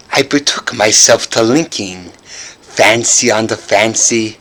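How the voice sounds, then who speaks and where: A man in his thirties giving a theatrical, intense recitation of gothic horror verse in a living room. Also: mid-range voice. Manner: melodramatic, intense, swinging from hushed to shouted. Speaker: performer reciting a poem. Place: carpeted basement living room with low tiled ceiling.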